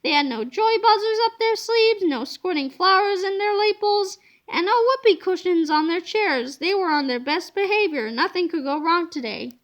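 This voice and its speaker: A woman reading a children's book aloud as an expressive, emphatic storyteller. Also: extremely high pitch, moderate pace.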